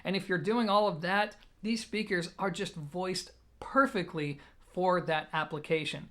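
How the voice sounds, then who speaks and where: A man's voice: high-pitched, fairly even intonation, measured pace, a bit bright. A man giving a conversational product review as an audio gear reviewer, explaining things in a blunt, emphatic way.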